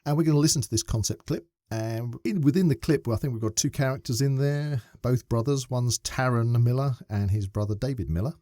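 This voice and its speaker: A man co-hosting a podcast in casual chat, animated and playful, reading a synopsis aloud. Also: mid-range voice, fairly quick, few pauses, no echo, studio quality. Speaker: podcast co-host.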